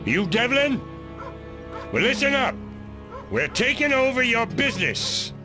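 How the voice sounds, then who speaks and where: A man playing an aggressive, bullying Old West outlaw in a video game cutscene, loud and commanding. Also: very high voice, sing-song, unhurried, exaggerated voice. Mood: threatening. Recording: music behind the voice.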